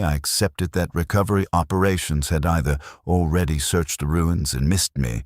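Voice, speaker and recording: A man narrating as a stoic, detached soldier in a scripted sci-fi story, speaking in precise, deadpan, short clipped sentences. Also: very deep voice, no room sound, clean studio sound, exaggerated voice. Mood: resigned. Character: stoic, detached male soldier recounting being buried alive.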